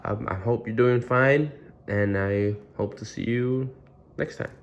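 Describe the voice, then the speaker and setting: A man's voice: mid-range voice, measured pace, muffled recording, a little hiss or hum. A man hosting a read-aloud, reading a children's storybook expressively with casual asides.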